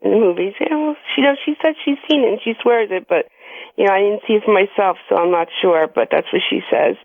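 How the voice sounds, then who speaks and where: A woman's voice: mid-range voice, fast, vocal fry, dry recording. An American woman calling in to a radio show, chatting casually and giggly. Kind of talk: casual chat. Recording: tinny phone line on radio.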